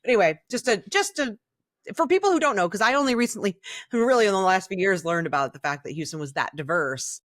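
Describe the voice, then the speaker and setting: A woman's voice: medium pitch, animated, quick pace, fairly bright tone, no room sound, studio quality. A woman co-hosting a podcast in casual, candid chat, opinionated and blunt with some mild swearing. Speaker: podcast co-host.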